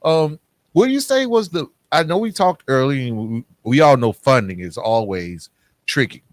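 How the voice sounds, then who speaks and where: A man's voice: mid-range voice, expressive, moderate pace, no echo. A man hosting an interview podcast, putting questions to a guest in a conversational style. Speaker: podcast host.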